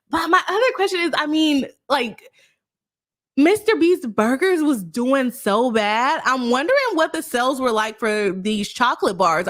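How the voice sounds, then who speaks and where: A woman's voice: fairly high pitch, a bit bright. A woman attorney hosting a casual live stream, explaining a legal case plainly and reading chat aloud, sounding stuffy from a cold. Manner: chatty, explains legal terms plainly, reads chat aloud, laughs often.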